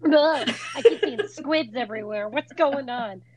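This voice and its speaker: A hoarse young woman co-hosting a podcast, chatting casually with jokes and teasing exclamations. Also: high voice, unhurried. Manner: animated, jokey, exclaims and teases. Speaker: podcast co-host. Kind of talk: casual chat.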